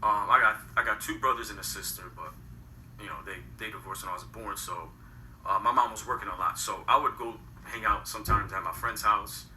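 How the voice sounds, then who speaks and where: A man's voice: lowish voice, steady tone, a little fast, bright, forceful tone, reverberant, distant mic, a little hiss or hum, low-quality recording. A man in his thirties from Connecticut, telling his story in a rambling, casual way full of slang. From Connecticut, USA. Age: 30s. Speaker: guest. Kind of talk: casual interview.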